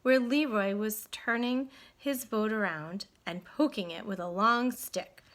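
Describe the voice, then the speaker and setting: A woman teacher reading a storybook aloud to children in an expressive, guiding way. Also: mid-range voice, unhurried. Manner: addresses children directly, guiding them with questions. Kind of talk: read-aloud lesson, expressive narration.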